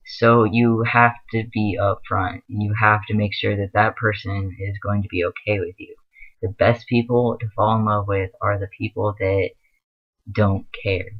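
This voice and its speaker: A man's voice: fairly low pitch, narrow, tinny audio. A young man giving earnest, rambling advice in a casual vlog, with many fillers. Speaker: vlogger.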